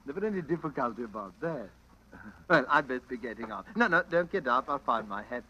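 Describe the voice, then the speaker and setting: A man's voice: medium pitch, lively intonation, brisk, pushed and bright. A man playing a sly, genial yet sinister stranger in an old radio drama, musing and insinuating as he talks. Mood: slyly menacing. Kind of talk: 1940s radio acting. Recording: dull, faintly noisy old radio recording.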